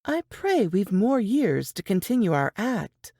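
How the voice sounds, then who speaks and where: A woman acts out a young Southern singer in a period audiobook. She sounds earnest, worried and folksy. Her pitch moves widely and her pace is slow, recorded dry and close. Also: big pitch swings, dark tone, no echo. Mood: wistful, hopeful. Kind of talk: dramatized audiobook dialogue.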